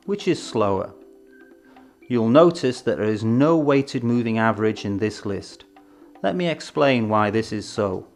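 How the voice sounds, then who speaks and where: A man presenting an educational film in a scripted, measured, explanatory way with emphatic phrasing. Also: medium pitch, darkish tone. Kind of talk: scripted instructional narration. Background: background music.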